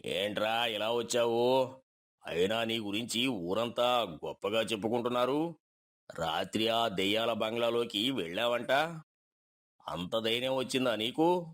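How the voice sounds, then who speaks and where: A man voicing a domineering older village uncle with a gruff, condescending manner in a story. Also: medium pitch, a little slow, a bit bright, close to an everyday voice.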